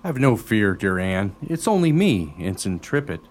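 A man's voice: fairly low pitch, very animated, moderate pace, a little mellow. A man playing an eager, gallant junior military officer in a radio play, speaking emphatically. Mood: eager, reassuring.

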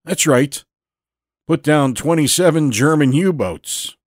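A man voicing a genial, boastful Navy veteran in his eighties for an audio storybook, folksy and orating, with drawn-out emphatic phrases. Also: fairly high pitch, lively intonation, no room sound, clean studio sound, pitched above the performer's own voice. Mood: proud, reminiscing. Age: 80s.

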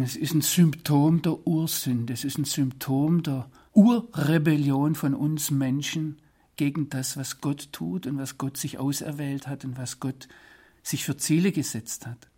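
A man from Swabia, giving earnest, deliberate interview answers. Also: medium pitch, a little mellow. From Swabia, Germany. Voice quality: husky. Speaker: interview guest.

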